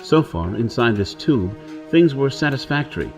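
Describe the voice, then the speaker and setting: Male actor as wary outlaw hero, theatrical, intense, middle-pitched, audio drama storytelling, prominent music underneath. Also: sing-song, moderate pace, close to an everyday voice. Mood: tense narrating. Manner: theatrical, intense, talking to himself. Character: rugged, wary outlaw man of Earth blood on Mars. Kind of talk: audio drama acting with first-person narration.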